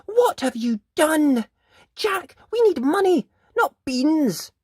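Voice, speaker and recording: A poor storybook mother, as a storyteller puts her on, high-voiced and careworn. She sounds distressed and ready to scold, with a swooping pitch. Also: very animated, takes it slowly, dry recording, studio quality, exaggerated voice. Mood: exasperated.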